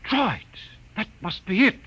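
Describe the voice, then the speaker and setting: An excitable scientist on a space expedition in a muffled, faintly hissy vintage recording. His fairly high voice swings widely as he theorizes, obsessed and full of halting wonder, in 1950s radio drama style. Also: breathy edge, exaggerated voice. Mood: urgent, excited.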